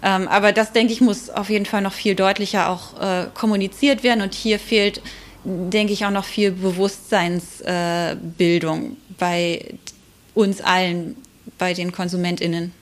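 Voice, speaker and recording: A woman's voice: mid-range voice, level tone, moderate pace. Thoughtful woman explaining as an expert. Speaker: interviewed expert. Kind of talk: informal expert interview answers.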